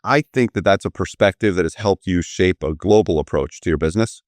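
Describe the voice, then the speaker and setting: A man's voice: deep voice, fairly quick, darkish tone, dry recording. A man hosting a business podcast, introducing guests and asking them questions in an easy conversational way. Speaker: podcast host. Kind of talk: conversational interview.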